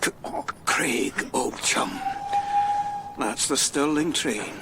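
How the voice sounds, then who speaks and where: An actor playing a sadistic, taunting villain with a stammer in a gothic radio drama. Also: male voice, medium pitch, animated, very slow. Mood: gloating contempt. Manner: taunting, menacing, theatrical. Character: Edward Hyde, wicked sadistic chemically created alter ego. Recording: music behind.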